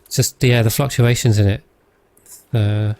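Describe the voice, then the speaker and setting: A British man streams a game in a fairly low, calm voice. He talks casually to his viewers and thinks aloud as he goes, measured and unhurried. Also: smooth. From Britain. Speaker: live streamer, host. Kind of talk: casual game commentary while chatting with viewers.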